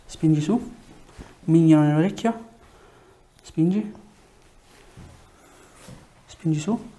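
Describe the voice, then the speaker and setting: A man's voice: high-pitched, dark tone. A man, a chiropractor giving short hands-on instructions and explanations to a patient in a treatment room. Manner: short directive phrases. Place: small clinic treatment room indoors. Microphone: lapel mic.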